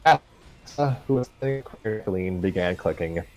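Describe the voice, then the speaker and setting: A man's voice: deep voice, lively intonation, normal speed, no room sound, faint music behind. A man reading a fan story aloud on a livestream, casually, with stumbles and asides.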